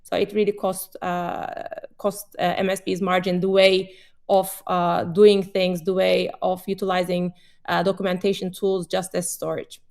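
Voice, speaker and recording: A woman's voice: fairly low pitch, little pitch movement, moderate pace, creaky, darkish tone. A woman in her forties from Turkey with a Turkish accent, a company CEO explaining her views in a webinar panel with frequent "uh" fillers.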